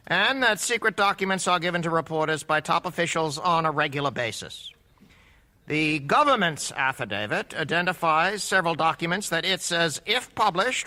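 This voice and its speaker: A man's voice: high-pitched, sharp tone, heavily acted. A man playing a stern, skeptical judge in a courtroom radio drama, formal and probing. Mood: formal, measured. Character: stern, skeptical male judge presiding over a hearing.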